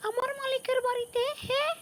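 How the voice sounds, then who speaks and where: A man's voice: very high-pitched, flat delivery, a little fast, a bit bright. A man voicing a feisty, loyal little guard animal in a comic cartoon, indignant and urgent. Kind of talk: comic cartoon dubbing in Bengali.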